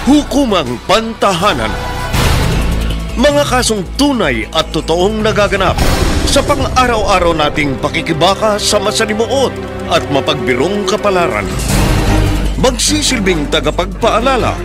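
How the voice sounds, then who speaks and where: A man's voice: sits high, very animated, measured pace, loud music behind the voice. A man with a Filipino accent announcing a radio drama in an emphatic, declamatory scripted style.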